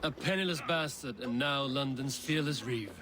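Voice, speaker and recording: A male actor playing a calm, bold Viking clan leader in a video game, speaking formally and plainly. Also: medium pitch, lightly acted. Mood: calm, curious. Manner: formal, measured, plain-spoken. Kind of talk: video game dramatic dialogue.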